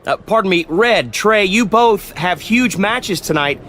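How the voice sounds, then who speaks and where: A man's voice: high-pitched, lively intonation, measured pace, a bit bright, slight background noise. A man playing a polite, eager backstage wrestling interviewer, speaking in a presentational way in a video game. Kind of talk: sports interview.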